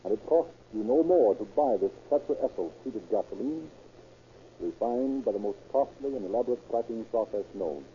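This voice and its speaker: A man's voice: lowish voice, lively intonation, normal speed. A man announcing scripted sponsor copy in 1930s radio style. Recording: tinny, noisy vintage radio recording.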